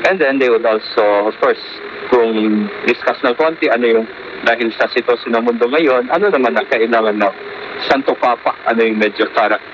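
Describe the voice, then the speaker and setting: A man's voice: mid-range voice, steady tone, normal speed. A man with a Filipino accent explaining things conversationally as a guest on radio, moving between Tagalog and English. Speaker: priest, studio guest. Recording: muffled AM radio capture, faint noise.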